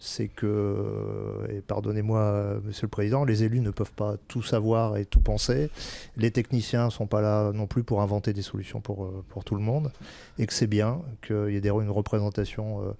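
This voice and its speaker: A man answers as an interview guest in a low, relaxed voice with a good deal of creak. The sound is dry and close. He explains things candidly, often pausing to search for his words. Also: no echo. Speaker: senior local-government administrator, interview guest.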